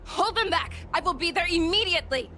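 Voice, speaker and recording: A woman's voice: very high voice, animated, brisk, sharp tone, faint music behind, heavily acted. A woman playing a bold, authoritative general in an anime-style video game. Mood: rousing command. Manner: authoritative, resolute. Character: bold commanding woman general rallying her knights.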